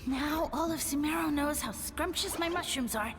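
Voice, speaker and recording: A woman's voice: high voice, moderate pace, noisy background, close to an everyday voice. A woman voicing a petulant, haughty ancient mushroom lord in a game, whiny and commanding. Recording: long hall reverb with music.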